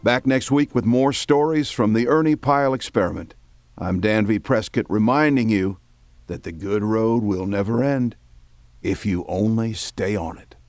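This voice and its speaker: A man with a fairly low voice announces a radio drama series. The delivery is scripted narration, with music playing softly underneath. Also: moderate pace, no room sound. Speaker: announcer and host.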